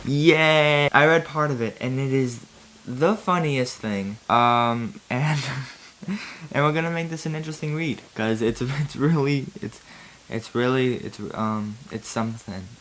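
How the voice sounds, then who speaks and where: A woman's voice: extremely low pitch, measured pace, fairly bright tone, slight background noise. A woman hosting a humorous fan fiction reading, with a giggly, sarcastic, casual way of talking. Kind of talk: casual humorous commentary and read-aloud.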